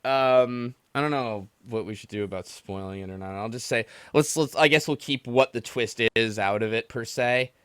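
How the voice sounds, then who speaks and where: A man's voice: mid-range voice, normal speed, few pauses, a bit bright, clear, resonant tone, dry recording, clean studio sound. A man hosting a call-in podcast, chatting casually with a jokey, ribbing manner. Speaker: podcast host taking callers. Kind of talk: casual chat.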